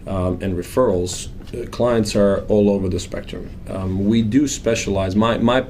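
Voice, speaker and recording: A man's voice: fairly low pitch, fairly even intonation, a little slow, a little mellow, slight background noise. A man from Croatia with a Croatian accent, a firm owner talking plainly and earnestly about his work in a casual interview, with frequent ums. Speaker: financial planning firm owner.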